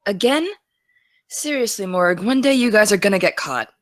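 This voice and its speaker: A woman's voice: mid-range voice, lively intonation, moderate pace, no room sound, studio quality, lightly acted. An actress playing a gung-ho teenage girl podcaster, teasing and friendly, in a naturalistic audio drama. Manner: teasing, enthusiastic.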